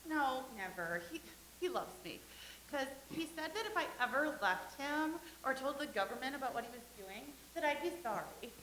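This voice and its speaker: Woman doing a giggly starstruck young actress, bright high voice, echoey room. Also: expressive, moderate pace, slight background noise. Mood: dreamy. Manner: gushing and naive, with giggles. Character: vain, starry-eyed young stage actress, woman. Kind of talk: staged play reading.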